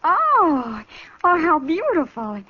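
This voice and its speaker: A woman's voice: very high voice, huge pitch swings, takes it slowly, exaggerated voice. An American woman playing a sweet, shy teenage frontier girl in a 1950s radio western. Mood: bright, polite. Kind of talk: 1950s radio drama acting. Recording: muffled, noisy old radio recording.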